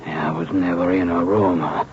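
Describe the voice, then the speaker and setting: Jittery working joe in an old radio play, low voice, halting, defensive, muffled hissy sound. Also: male voice, fairly even intonation. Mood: defensive confession. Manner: halting, plain-spoken working man. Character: nervous, defensive theater worker under questioning. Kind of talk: radio drama acting. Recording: dull, noisy old radio recording.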